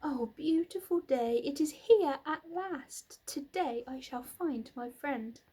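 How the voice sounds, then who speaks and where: A woman voicing an earnest, excitable young male pig from a storybook, formal and eager in the way it speaks. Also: high-pitched, slow pace, pitched above the performer's own voice. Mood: joyful.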